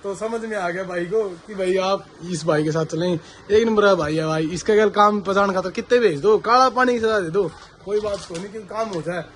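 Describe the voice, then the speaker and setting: A man with a fairly high voice talks in a casual vlog style. His carries a flavour, and he explains things informally as he goes. The recording has clear background noise from the location. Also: a little fast, fairly bright tone. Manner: informal, chatty, explaining as he works. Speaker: vlogger and CCTV installer. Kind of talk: casual vlog talk addressed to viewers. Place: on location at work sites. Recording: clearly noisy location sound, traffic.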